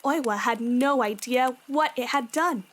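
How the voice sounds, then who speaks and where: Woman as a composed, high-voiced young demon slayer. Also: unhurried. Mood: ominous storytelling. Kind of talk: fan audio drama acting, campfire storytelling.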